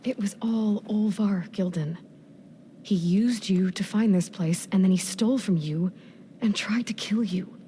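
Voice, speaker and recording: Woman playing a mellow-voiced, earnest young game heroine. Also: mid-range voice, a little slow, a little hiss or hum, natural voice. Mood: gently frank. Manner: earnest, direct, reassuring. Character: frank, loyal, caring young heroine adventurer. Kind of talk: video game cutscene acting.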